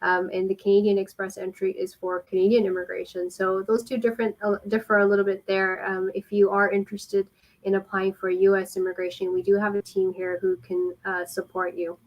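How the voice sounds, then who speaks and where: A woman working as an immigration consultant, explaining things helpfully and informally in a live question-and-answer style, with frequent pauses. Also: medium pitch, little pitch movement, low-quality recording.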